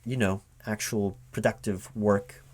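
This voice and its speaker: A man in a spoken, unwhispered ASMR roleplay, talking casually and haltingly to an unheard partner as if puzzling things out aloud. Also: lowish voice, steady tone, a little mellow. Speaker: recruiting manager interviewing a gamer in a one-sided roleplay. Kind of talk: spoken ASMR roleplay, casual one-sided conversation, not whispered.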